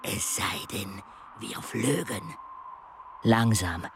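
A man's voice: fairly low pitch, extremely slow, creaky, slight background noise, exaggerated voice. Reflective male expedition narrator. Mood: reflective, uneasy. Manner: literary, reflective storytelling. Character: adult male expedition member recounting a dizzying mountain climb. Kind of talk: first-person narration in a radio play.

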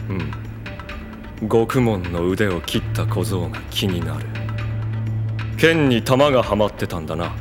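An actor playing a menacing anime villain boss, giving orders in a casual, commanding way. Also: male voice, low-pitched, expressive, unhurried, long pauses, over music. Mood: cool, commanding. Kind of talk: anime voice acting.

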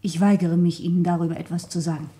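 An actress playing a proud, secretive, anguished young gentlewoman in a German radio crime drama, formal and solemnly dramatic. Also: fairly low pitch, fairly even intonation, fast, slight background noise, close to an everyday voice. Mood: proud, defiant.

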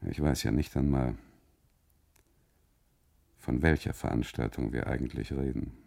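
A man narrating a literary radio monologue in the first person, with deliberate, theatrical phrasing. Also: a bass voice, fairly even intonation, vocal fry, darkish tone.

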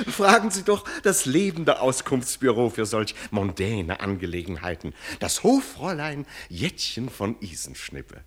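A learned, sardonic tutor to royalty in a radio play. His delivery is hugely animated, leaping up and down in pitch, with pompous, teasing irony in ornate phrases. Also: a little slow, slightly rough. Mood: sly, ironic. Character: sardonic, mocking learned male tutor to a prince. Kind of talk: German radio play acting.

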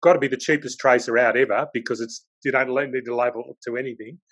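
A man's voice: medium pitch, fairly even intonation, fast, fairly bright tone, breathy edge. A man hosting a podcast interview, talking in a rambling, hesitant way with many restarts. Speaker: podcast host. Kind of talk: casual interview.

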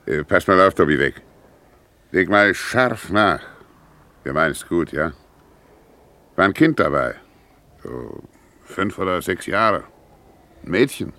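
Police patrolman, deep, coaxing, probing, condescending, 1950s radio drama. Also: expressive, takes it slowly, long pauses, pushed and bright, exaggerated voice.

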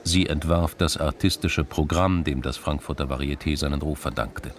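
Male narrator, deep register, literary crime radio drama, scripted read. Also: little pitch movement, normal speed.

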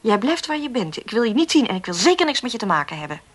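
Irritated defiant woman, radio play, curt, dismissive, slightly high voice. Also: very animated, fast talker. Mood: annoyed, curt. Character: irritated, defiant woman pestered by a phone caller. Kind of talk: Dutch radio play acting.

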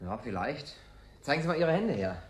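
A man's voice: mid-range voice, big pitch swings, normal speed, loud music behind the voice, natural voice. An actor playing a reluctant, grumbling man of thirty-nine in a German radio play, speaking in a dry, understated way. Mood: modest, low-key.

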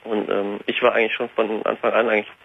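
A man's voice: fairly low pitch, little pitch movement, fast talker, gravelly, slightly rough. An eighteen-year-old man calling in to a late-night talk show, speaking hesitantly with many fillers. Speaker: caller. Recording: tinny phone line.

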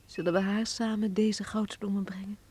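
An actress playing a sweet, devoted young country bride-to-be in a radio drama, with a soft, breathy voice. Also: female voice, medium pitch, level tone, moderate pace, slight background noise, natural voice. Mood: hushed. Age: young adult.

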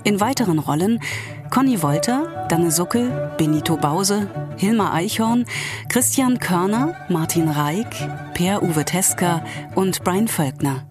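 A woman reads the closing credits of a radio play slowly and formally. Her voice sits a little low, and music plays clearly underneath. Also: lively intonation. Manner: measured, formal. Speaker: credits announcer.

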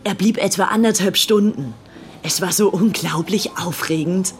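A woman's voice: lowish voice, normal speed, a little hiss or hum, close to an everyday voice. Breathless, infatuated young upper-class English woman, played by an actress. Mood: excited, gushing. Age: young adult. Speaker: actress in a radio play. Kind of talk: radio play acting, diary-like confiding.

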